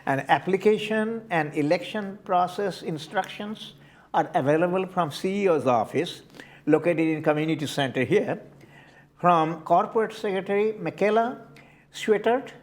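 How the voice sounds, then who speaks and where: An older man with an Indian accent, answering interview questions in a halting way, often repeating words as he searches for them. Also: high-pitched. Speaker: community board candidate.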